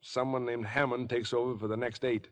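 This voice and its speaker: A man's voice: mid-range voice, flat delivery, brisk, natural voice. Tense young man, played by an actor. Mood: wry, weary. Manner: clipped, earnest, uneasy. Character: tense, earnest young man under suspicion. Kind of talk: 1950s radio drama acting.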